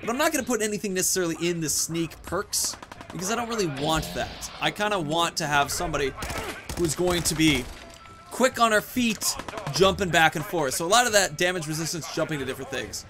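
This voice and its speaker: Higher-voiced man narrating his gameplay. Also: lively intonation, a little fast, music in the background. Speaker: gaming video host. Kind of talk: casual gameplay commentary.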